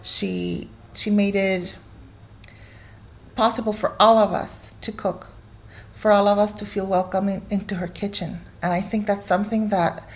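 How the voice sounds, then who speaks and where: A woman's voice: mid-range voice, steady tone, a little slow, gravelly. A woman from Guatemala, a cookbook author, speaking conversationally and heartfelt as an interview guest.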